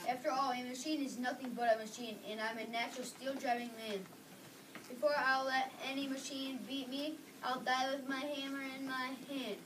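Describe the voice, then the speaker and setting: A child plays a proud, determined steel driver in a reader's theater piece. The voice is high and young. It is picked up from a distance with clear noise around it. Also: little pitch movement, moderate pace, lightly acted. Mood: confident, defiant. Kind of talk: school reader's theater, read aloud. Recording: distant microphone, loud background noise.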